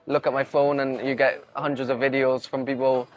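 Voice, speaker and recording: Young man from Barbados, mid-pitched, easygoing, modest interviewee. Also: muffled recording. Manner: relaxed, modest, halting with fillers. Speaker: racing driver. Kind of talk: interview answers.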